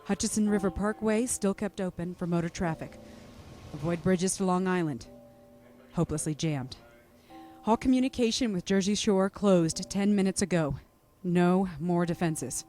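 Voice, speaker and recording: A doomed male radio announcer reporting from a rooftop, as a man acts him out, his voice pitched high. He gives clipped, urgent bulletins in the manner of 1930s radio drama, with faint music behind him. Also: moderate pace, darkish tone, lightly acted. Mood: grave.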